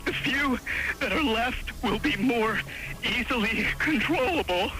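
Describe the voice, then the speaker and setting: A man on a phone line to a radio show, distraught and desperate, halting and stammering. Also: very high-pitched, lively intonation. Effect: telephone line. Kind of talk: frantic unscripted radio phone call. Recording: telephone call on AM radio, music behind.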